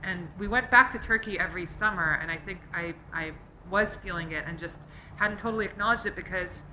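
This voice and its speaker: A woman's voice: lowish voice, a little fast, sharp tone, slight background noise, low-quality recording. A middle-aged woman author, talking at a live event in a thoughtful, rambling way with many fillers. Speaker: author being interviewed.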